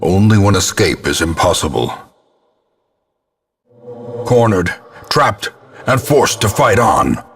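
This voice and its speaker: An actor playing a grim old male ancestor who narrates a gothic video game in a portentous, measured, theatrical manner, with a gravelly, husky voice. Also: low-pitched, big pitch swings, fairly bright tone, exaggerated voice. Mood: grave, ominous. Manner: portentous, measured, ornate diction.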